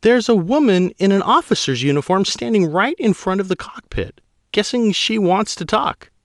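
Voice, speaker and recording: A man voicing a nervous young woman shuttle pilot in a raised, strained falsetto. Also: animated, normal speed, no room sound, clean studio sound, close to an everyday voice. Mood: insistent. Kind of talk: audiobook character voice.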